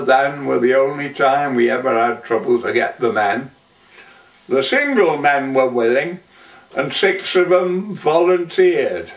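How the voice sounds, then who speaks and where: A man playing a weathered old lifeboatman, reciting a sorrowful dramatic monologue in folksy, plain-spoken verse. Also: mid-range voice. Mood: grave storytelling. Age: older. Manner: measured, folksy storytelling with rustic dialect. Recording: roomy, dull, distant microphone.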